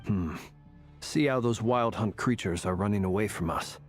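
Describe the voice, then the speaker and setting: An actor playing a composed, courteous and analytical man in a video game, speaking formally with measured authority. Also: fairly low pitch, soft background music, lightly acted.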